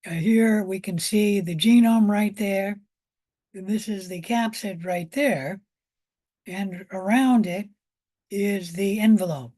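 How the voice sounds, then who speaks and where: A woman lecturer with a hoarse voice and a medium pitch. She speaks slowly, pausing and repeating as she explains things informally to a college class. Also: mellow. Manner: unhurried explaining with pauses and repetition.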